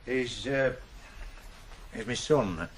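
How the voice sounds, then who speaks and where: A guarded, curt working-class father in middle age in a 1980s British radio drama. His gruff, halting Northern English speech rises and falls widely, with faint noise on the recording. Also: mid-range voice, expressive. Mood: halting concern.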